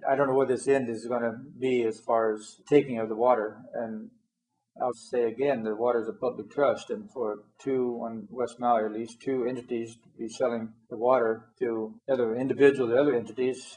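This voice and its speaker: A man's voice: medium pitch, a little mellow, rough recording. A man from Hawaii, talking in a rambling, hesitant way in an interview. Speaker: interviewee, local resident. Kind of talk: casual interview talk.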